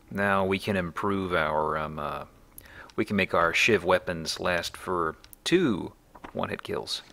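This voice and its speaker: Low gravelly man, bright-edged voice. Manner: wry, joking asides. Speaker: let's play host and player. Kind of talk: casual gameplay commentary.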